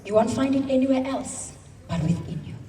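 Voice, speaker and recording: A South African woman in her thirties giving an emphatic motivational speech at a convention, stretching her words into slow dramatic pauses. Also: high-pitched, animated, background noise. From South Africa, Eastern Cape. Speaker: convention speaker. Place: large hall. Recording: echoey hall, speaker far from mic.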